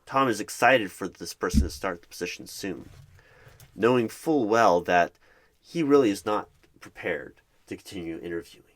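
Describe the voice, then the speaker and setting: A man narrating an ASMR roleplay in the third person, deliberately, in short dramatic phrases. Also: fairly low pitch, lively intonation.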